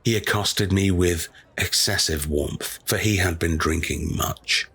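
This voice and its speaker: A man narrating a classic tale in a dramatic reading, measured, solemn and deliberate. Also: sits low, fairly bright tone, husky.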